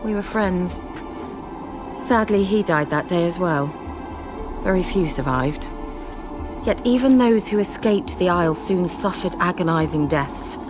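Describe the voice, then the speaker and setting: Haughty sorceress, music behind. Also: female voice, fairly low pitch, expressive, measured pace, pauses often. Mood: reflective. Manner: articulate, formal, dryly condescending. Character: haughty, sly young sorceress posing as village witch. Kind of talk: dramatic video game dialogue. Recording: game music under the voice.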